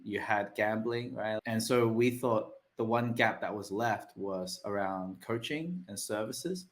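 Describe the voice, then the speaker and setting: A man's voice: lowish voice, measured pace. A man, talking loosely as a podcast guest and often checking in with tag questions. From Australia. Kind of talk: casual interview talk.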